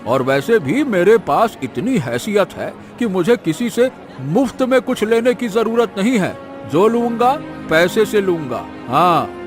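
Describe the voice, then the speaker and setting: Man acting curt, animated rich old villager. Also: high-pitched, measured pace, over music. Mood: irritated, scornful. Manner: scornful, blunt. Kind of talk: animated moral story acting.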